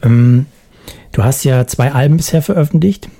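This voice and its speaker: Male interviewer, dark, mellow middle voice, calm, short prompting questions. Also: mid-range voice, moderate pace, creaky. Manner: calm, brief prompts and murmured agreement.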